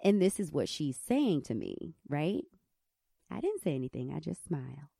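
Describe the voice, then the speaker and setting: A woman in her thirties with a squeaky country voice, telling a candid personal story as host of her podcast. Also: normal speed, gravelly, no echo, studio quality. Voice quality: squeaky, high voice. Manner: candid, animated storytelling with laughs. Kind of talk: personal reflective podcast monologue.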